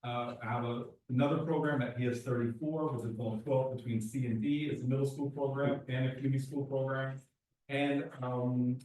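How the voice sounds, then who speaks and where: A man, a youth program director giving earnest, quick testimony with restarts at a public meeting. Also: mid-range voice, darkish tone, slight background noise. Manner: earnest, talks fast with restarts. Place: community board meeting room. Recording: echoey room, far from the mic.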